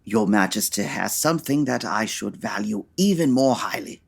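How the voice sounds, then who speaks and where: A man's voice: lowish voice, expressive, normal speed, creaky. A man playing a brilliant, proud detective in old-time radio drama style, formal and articulate. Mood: admiring, earnest.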